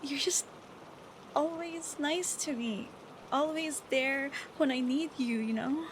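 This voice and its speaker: A young woman acting an affectionate, teasing best friend who is nervously smitten, in a soft, hesitant, intimate roleplay style with rain behind her. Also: sits high, slow, a little hiss or hum, poor audio. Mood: shy, confessional. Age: young adult. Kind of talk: intimate roleplay audio acting, girlfriend-experience monologue. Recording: steady rain sound effects behind voice.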